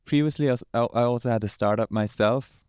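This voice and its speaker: Man, mellow and smooth. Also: medium pitch, fast talker, no echo, studio quality. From Switzerland. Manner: relaxed, with hesitant um fillers. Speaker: guest, venture partner. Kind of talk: casual interview answers.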